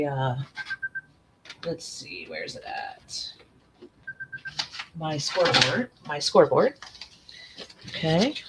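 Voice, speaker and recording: Raspy woman rambling through a live craft tutorial at her desk, lots of restarts, noisy audio. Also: low-pitched. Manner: chatty, rambling, self-correcting. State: allergies, voice worn. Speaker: craft channel host.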